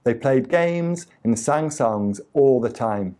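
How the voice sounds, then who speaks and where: A man reads a children's story aloud in a relaxed, mellow voice. He takes his time over each phrase and delivers the tale expressively, as for young listeners at storytime. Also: mid-range voice. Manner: deliberate, expressive reading with acted sound effects. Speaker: storyteller reading a picture book aloud to children.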